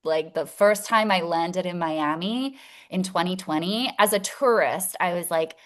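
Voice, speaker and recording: Woman chatting warmly. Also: fairly low pitch, unhurried. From Italy. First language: Italian. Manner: open, chatty, laughs often. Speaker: featured guest educator. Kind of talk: casual chat on a video call.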